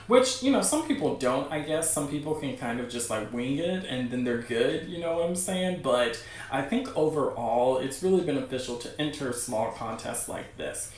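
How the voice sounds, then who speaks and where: A man's voice: medium pitch, animated, normal speed. Man livestreaming in a very echoey room. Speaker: livestream host. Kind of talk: casual chat to a livestream audience. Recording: very echoey room, distant mic.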